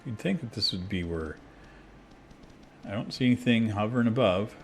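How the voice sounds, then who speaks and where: A man's voice: lowish voice, normal speed, a little hiss or hum. A man talking casually and candidly while playing a video game, rambling with many fillers and restarts. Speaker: gaming video creator. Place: abroad, recording at a computer.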